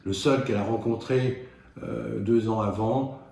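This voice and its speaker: A man giving an explanatory self-help talk as a doctor, in a measured, didactic and halting way with pauses. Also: fairly low pitch, steady tone, creaky, a little mellow. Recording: very echoey room, faint noise.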